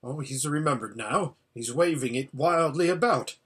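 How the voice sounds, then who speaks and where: A man's voice: medium pitch, expressive, measured pace, a bit bright, breathy edge. A man voicing an impatient old hermit seer in a storybook, giving an anxious, exclamatory running report. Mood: dismayed. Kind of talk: storybook character voice.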